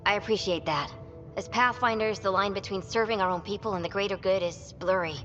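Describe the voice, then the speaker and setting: A woman's voice: mid-range voice, a little fast, a bit bright, big-room sound, muffled recording, faint music behind, lightly acted. An actress playing a formal, dignified female leader in a video game cutscene. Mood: solemn gratitude.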